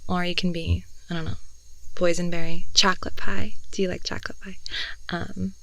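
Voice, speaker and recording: A young woman playing a talkative, affectionate, playful girlfriend in an improvised ASMR roleplay, rambling softly and often slipping toward a whisper, close to the microphone. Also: fairly low pitch, flat delivery, moderate pace, gravelly, no echo, poor audio. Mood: playful, cozy. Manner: rambling, giggly, with doting asides. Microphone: close headphone-oriented ASMR microphone.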